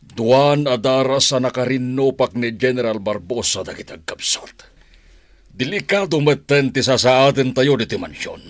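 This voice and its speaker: A man plays a confident crime boss in a Filipino radio drama. His accented voice is mid-pitched and recorded dry. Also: expressive, moderate pace, no room sound, close to an everyday voice. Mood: grim, confident.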